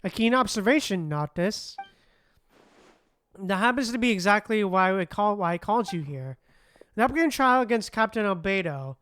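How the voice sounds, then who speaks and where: Clear-voiced woman streaming a game. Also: medium pitch, expressive, normal speed, fairly bright tone, no echo. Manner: casual, corrects misreadings. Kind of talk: gameplay commentary, reading dialogue aloud.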